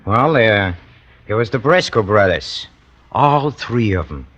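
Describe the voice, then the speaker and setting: An actor playing a bitter, cold-blooded hired killer who confesses in a brooding, halting way, in vintage radio drama style. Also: male voice, fairly low pitch, lively intonation, a bit bright, muffled recording, full character voice. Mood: cocky confession. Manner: brooding, halting, repeats himself with menace. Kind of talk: 1940s-50s radio drama acting. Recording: old radio broadcast with music underneath.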